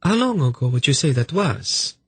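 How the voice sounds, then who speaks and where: Man voicing an impish young court lady, lifted pitch. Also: sing-song, moderate pace, slightly rough, no echo, heavily acted. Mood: sly, probing. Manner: bright, courteous, slyly probing. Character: impish, shrewd young woman, sweetly teasing court lady.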